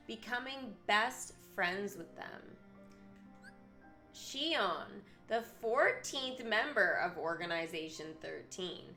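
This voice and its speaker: A woman with a bright, forward voice at a middle pitch, streaming with game music playing behind her. She reads out profiles, then drops into casual, excitable commentary for her viewers. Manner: chatty, excitable, breaking into asides to viewers. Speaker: live streamer. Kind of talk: reading aloud character profiles with casual commentary.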